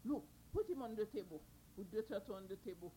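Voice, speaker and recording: Woman doing an excitable farmer man. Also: mid-range voice, fast talker, a little hiss or hum, voice pushed down, natural voice, low-quality recording. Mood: pleading. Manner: loud exclamations, threatening.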